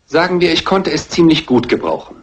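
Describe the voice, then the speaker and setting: A suave schemer played by a man with a fairly high voice and very lively intonation. He insinuates and needles with a short temper, as in a dubbed crime film. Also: fairly quick, close to an everyday voice. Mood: insinuating, irritated. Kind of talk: German film dub acting.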